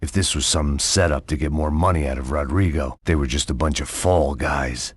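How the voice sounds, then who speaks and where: A man's voice: very deep voice, moderate pace, dry recording. An actor playing a world-weary middle-aged male bodyguard and former cop in a noir video game, with dry, sardonic wisecracks and a bleak inner monologue. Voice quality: gravelly, sardonic.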